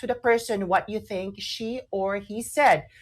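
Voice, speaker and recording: A woman's voice: mid-range voice, a little slow. A woman with a Filipino accent co-hosting a radio talk show in casual chat, explaining emphatically and often repeating herself for stress.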